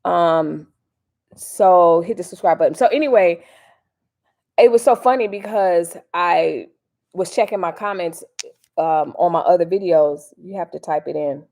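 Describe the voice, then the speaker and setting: An American woman with a fairly low voice hosts a casual livestream. She rambles in loose, folksy slang, drifting between commentary and replies to her viewers. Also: a little slow, gravelly, darkish tone. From Miami, United States. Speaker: livestream host. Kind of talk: casual live chat with commentary.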